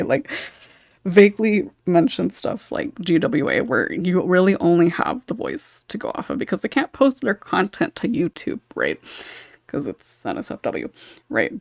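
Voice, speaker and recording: Female podcast host, casual and chuckly, lowish pitch, very little room sound. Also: vocal fry, dry recording, studio quality. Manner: informal, chuckles often while talking. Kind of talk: casual panel discussion.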